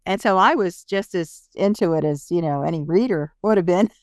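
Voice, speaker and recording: A woman's voice: fairly low pitch, expressive, normal speed, dry recording, studio quality. A woman hosting a casual interview, engaged and conversational in how she talks with her guest. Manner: engaged, laughs readily. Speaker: interview host.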